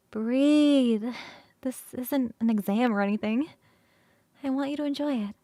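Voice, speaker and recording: A high, girlish succubus roommate, played by a woman in an ASMR roleplay, recorded close and dry. She is affectionate and playful, coaxing the listener with expressive, halting delivery. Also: no echo. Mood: soothing, tender. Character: affectionate, playful succubus roommate, young-sounding, eager to cuddle. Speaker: streamer performing scripted roleplay.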